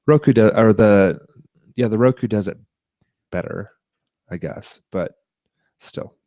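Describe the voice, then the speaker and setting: A man co-hosting a podcast, chatting casually about tech and media in a halting, rambling way. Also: fairly low pitch, dark tone, no echo. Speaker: podcast co-host.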